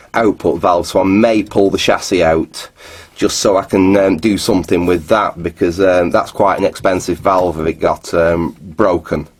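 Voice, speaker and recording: A man giving a casual, unscripted, matter-of-fact video tour in a halting, rambling way. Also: sits low, no room sound. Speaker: vlogger, hobbyist restorer.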